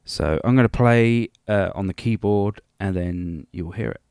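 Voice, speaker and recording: A man's voice: lowish voice, unhurried, darkish tone, smooth, no room sound, studio quality. A man presenting a casual, unscripted music production tutorial, rambling hesitantly and a little self-deprecating.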